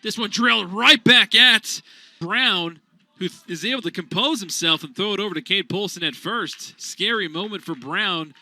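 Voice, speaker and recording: A man calling a college baseball game as radio play-by-play announcer, steady between pitches and rising loud on big plays. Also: moderate pace, no echo.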